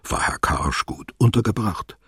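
Sober literary radio-play narrator, low register, heavily husky voice, formal and deliberate. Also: male voice, animated, a bit bright, no echo, clean studio sound, heavily acted. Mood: sober, measured. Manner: measured, formal, wordy.

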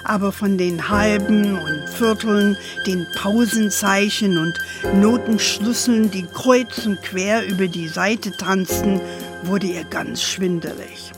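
An actress playing an older grandmother who tells a family story in a measured, dramatic way for a children's radio play. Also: medium pitch, over music. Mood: solemn narrating.

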